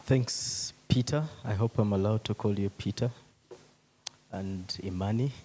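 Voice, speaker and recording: Low, mellow-voiced man chairing informally. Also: measured pace, slight background noise. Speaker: session chair. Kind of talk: informal conference moderating. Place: conference hall.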